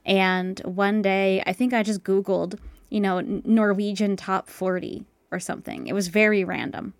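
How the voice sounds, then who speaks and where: A guest on a casual podcast, telling personal stories in a chatty way with plenty of fillers. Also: female voice, medium pitch, level tone, moderate pace, vocal fry, no room sound. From United States. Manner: chatty, storytelling with many fillers.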